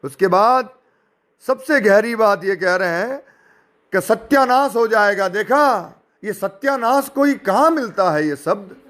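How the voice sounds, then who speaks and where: A man's voice: sits high, lively intonation, measured pace, fairly bright tone. A man giving an informal spoken rebuttal, sarcastic and indignant, mocking his opponents and firing rhetorical questions. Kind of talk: informal spoken rebuttal, addressing listeners directly.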